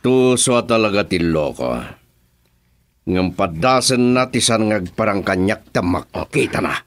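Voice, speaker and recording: Man voicing a low-voiced crime boss, slow and menacing, swings in pitch. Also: lively intonation. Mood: scheming. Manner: threatening, commanding. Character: menacing male crime boss, commanding and threatening. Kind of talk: radio drama acting.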